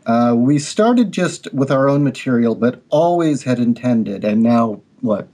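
Man, writer-artist, measured, critical, mid-pitched. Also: animated, dark tone. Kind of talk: podcast panel interview.

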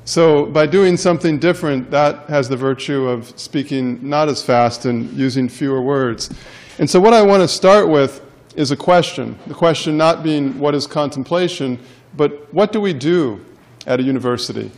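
A male professor giving a lecture in a classroom, loud and wordy by his own account. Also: fairly high pitch, moderate pace. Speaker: professor and center director. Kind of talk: university lecture. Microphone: handheld mic.

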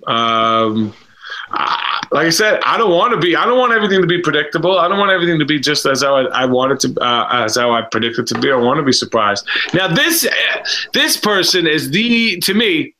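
A man in his thirties co-hosting a casual video-call stream, rambling and halting with many fillers. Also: fairly high pitch, very animated, bright, forceful tone. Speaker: livestream co-host. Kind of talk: casual chat about reality TV contestants.

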